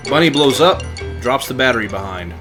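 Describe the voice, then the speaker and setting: A man's voice: medium pitch, lively intonation, fairly quick, a bit bright, faint music behind. A man livestreaming a video game with casual running commentary. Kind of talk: casual let's play commentary.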